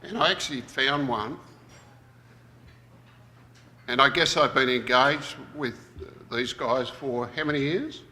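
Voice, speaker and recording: A man's voice: mid-range voice, moderate pace, pushed and bright, slight background noise. A man giving a reflective plenary talk at a conference, thinking aloud as he goes. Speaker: conference presenter. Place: conference hall. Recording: reverberant conference hall.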